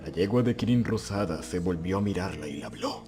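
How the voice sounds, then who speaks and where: A man's voice: low voice, animated, brisk, music in the background. A man giving a scripted, dramatic narration of a story.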